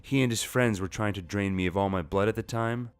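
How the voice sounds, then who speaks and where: A man's voice: lowish voice, fairly quick, clean tone, natural voice. A man voicing a sarcastic, defiant sixteen-year-old girl in a dramatized comic recap, flippant and quippy. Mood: dry sarcasm. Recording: music bed behind the voice.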